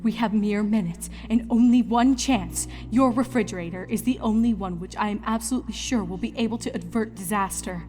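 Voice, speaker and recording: A woman's voice: medium pitch, fairly quick, few pauses, darkish tone, soft background music, natural voice. A woman voicing a regal female pony princess, speaking formally and solemnly, as in a storybook reading. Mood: grave, formal.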